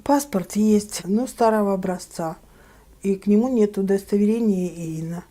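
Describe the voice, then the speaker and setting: An older woman from Ukraine, recalling her life in a documentary interview, plain and unhurried with small hesitations. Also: medium pitch, mellow.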